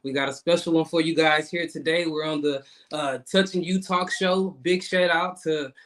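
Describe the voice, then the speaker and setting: Halting thirty-year-old man hosting. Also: a little high, fairly even intonation, fairly bright tone. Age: 30s. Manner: halting, repeats words, many fillers. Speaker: guest host. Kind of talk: casual online talk show hosting.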